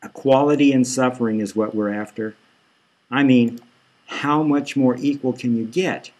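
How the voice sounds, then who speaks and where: A man's voice: medium pitch, steady tone, normal speed, darkish tone, close to an everyday voice. A man voicing a smug masked extremist villain in an audiobook, pompous and speechifying. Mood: lofty.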